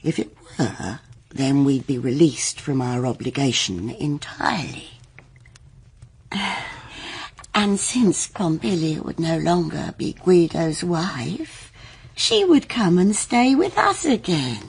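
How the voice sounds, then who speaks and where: Low, husky, scheming older wife. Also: female voice, very animated, heavily acted. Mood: coaxing, scheming. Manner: deliberate, firm, persuasive. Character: scheming, commanding older wife, coolly persuasive. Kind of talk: period radio drama acting.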